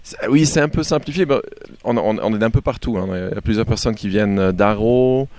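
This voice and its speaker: A man being interviewed as a band singer, chatting casually and haltingly, often repeating words as he goes. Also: lowish voice, darkish tone, slight background noise. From born in Algeria.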